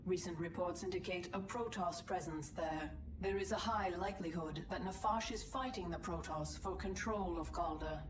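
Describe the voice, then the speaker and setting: A woman's voice: on the low side, normal speed, noisy background, natural voice. A woman voicing an impassive ship's computer in a video game, with precise, clipped announcements. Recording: echoing, distant speaker, music behind.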